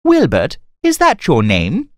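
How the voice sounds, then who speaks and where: Children's cartoon: chatty, flattering young traveling man, very animated intonation, unhurried. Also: deep voice, no room sound, exaggerated voice. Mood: friendly, chatty. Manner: theatrical, courteous. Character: cheerful, polite young male traveling storyteller, chatty and flattering. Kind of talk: animated children's story acting.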